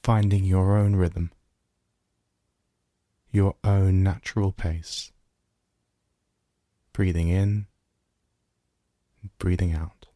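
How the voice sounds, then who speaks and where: A man guiding a body scan meditation as a teacher, giving gentle, measured instructions with pauses. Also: low-pitched, level tone, no room sound, clean studio sound.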